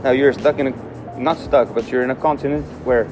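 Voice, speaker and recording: A man's voice: medium pitch, steady tone, normal speed. A man from Morocco in his twenties, answering interview questions in a candid, easygoing way with frequent fillers. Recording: music under voice.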